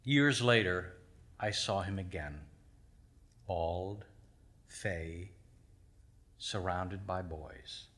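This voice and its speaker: A man reading his own short story aloud on a small theater stage, measured, his voice dropping to a hush at the ends of lines. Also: deep voice, animated. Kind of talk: live literary reading.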